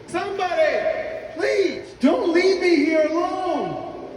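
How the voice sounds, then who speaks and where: A man voicing an earnest, pleading young favored son in an animated film. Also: very high voice, slow pace, noisy background, exaggerated voice. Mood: desperate pleading. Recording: echoey, distant film audio with music.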